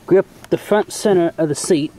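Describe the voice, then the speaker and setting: A man's voice: high-pitched, normal speed, dark tone, slight background noise, rough recording. A man giving a casual hands-on tutorial in a folksy, plain-spoken way, as host of a homemade how-to video. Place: outdoors in and around a car.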